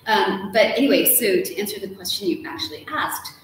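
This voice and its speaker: A middle-aged woman, an author guest, chatting casually and explaining things with an animated storytelling manner. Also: medium pitch, fairly quick. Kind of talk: casual live conversation. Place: hotel lounge with armchairs. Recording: echoey room, distant mic, faint hiss.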